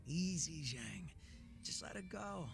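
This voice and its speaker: Male easygoing game crime boss: wry, casual drawl with swooping pitch, quietly dangerous, loud music underneath. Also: sing-song, slow pace, creaky, full character voice. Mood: calm, casual. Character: laid-back, wry male gang leader, quietly dangerous. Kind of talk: English video game dub acting.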